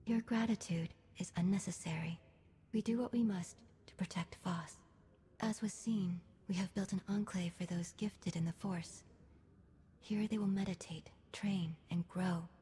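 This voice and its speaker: An actress playing a serene, austere alien mystic in a video game, speaking in formal, clipped, measured phrases. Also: female voice, on the low side, fairly even intonation. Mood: solemn, serene. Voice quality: soft, breathy, husky. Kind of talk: scripted video game dialogue. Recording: long reverberant hall.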